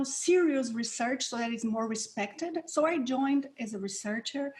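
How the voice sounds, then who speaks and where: This is a middle-aged woman from Brazil with a clear Brazilian accent, speaking English as a second language. Her voice sits somewhat high. She explains things in an earnest, reflective way, with light laughs between thoughts. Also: a little mellow. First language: Portuguese. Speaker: guest researcher and instructor. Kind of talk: interview answers.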